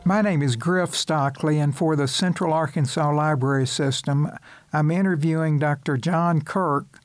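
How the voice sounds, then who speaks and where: A man's voice: on the high side, fairly even intonation, normal speed, soft background music. A man conducting a radio interview, asking questions in a hesitant way with stammered restarts.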